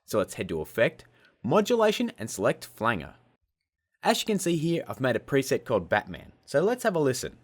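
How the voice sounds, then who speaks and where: Cheeky man hosting a tutorial, very animated. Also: mid-range voice, a little fast. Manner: cheeky, self-mocking, jokey asides. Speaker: host of a video-editing tutorial show. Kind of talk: casual scripted software tutorial narration. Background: music.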